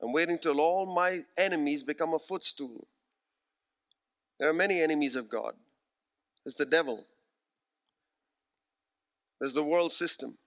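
A man in his forties preaches with an earnest, emphatic delivery, slowing down to repeat his key phrases. His voice sits a little higher than most men's. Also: a bit bright. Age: 40s. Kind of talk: sermon.

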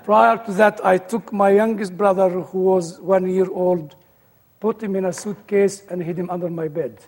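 High-voiced Egyptian-British man in a hall. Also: steady tone, moderate pace, darkish tone. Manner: dry humor, storytelling. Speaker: guest speaker. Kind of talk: personal testimony talk.

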